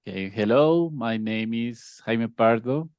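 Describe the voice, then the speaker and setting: A man from Colombia whose first language is Spanish, a student speaking haltingly on a video call as he searches for words. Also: medium pitch, darkish tone, clear, resonant tone, no echo.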